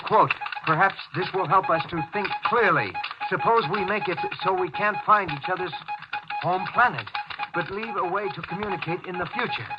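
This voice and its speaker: An actor playing an earnest, deferential spaceship communications officer in 1950s radio drama, explaining things respectfully and hesitantly. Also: male voice, sits high, lightly acted. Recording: dull, band-limited 1950s radio recording.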